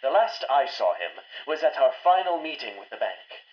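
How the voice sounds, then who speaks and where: A fussy, formal butler played by a man speaks ceremoniously in a mid-range voice with lively intonation. The sound is dull, like an old radio play. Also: mid-range voice, lightly acted. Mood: reading solemnly. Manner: ceremonious, deliberate delivery. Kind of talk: old-time radio melodrama acting.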